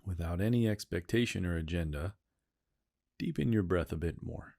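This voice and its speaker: A man leading a guided meditation, speaking in slow, soothing phrases and repeating gentle cues. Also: low voice, vocal fry.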